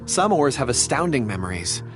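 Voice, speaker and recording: Game casting: male actor, mysterious helpful youth, courteous and earnest, expressive pitch movement over background music. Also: normal speed, natural voice. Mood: earnest, explaining. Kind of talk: video game voice acting. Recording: clear music behind the voice.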